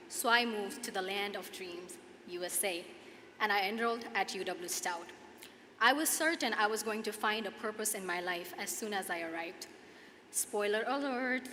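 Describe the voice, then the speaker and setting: A woman in her twenties from Nepal, with a Nepali accent, delivering a prepared commencement speech in an earnest, deliberate manner with dramatic pauses. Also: medium pitch, bright, forceful tone. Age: 20s. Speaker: student commencement speaker. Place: large hall at a podium, audience ambience. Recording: echoey hall PA, clear background noise.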